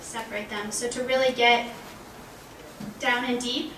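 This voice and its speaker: Bright young woman, distant in a room. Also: fairly high pitch, flat delivery, unhurried. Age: 20s. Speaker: panelist, language graduate. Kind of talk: conversational panel talk with personal anecdotes. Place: college panel event room. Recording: roomy, distant mic, faint background noise.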